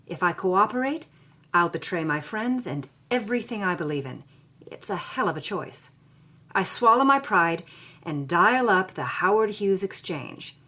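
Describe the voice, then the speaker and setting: A woman's voice: medium pitch, lively intonation, moderate pace, sharp tone. A woman playing a sardonic, troubled 1940s Hollywood writer, reading her journal with theatrical expression. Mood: anguished, defiant. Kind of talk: dramatic first-person reading of journal.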